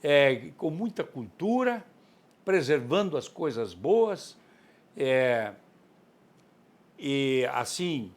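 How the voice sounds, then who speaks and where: A man's voice: medium pitch, very animated, very slow, long pauses. An older man from southern Brazil, an author giving an earnest interview answer and often adding "né". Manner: earnest, enthusiastic; frequent tag "né".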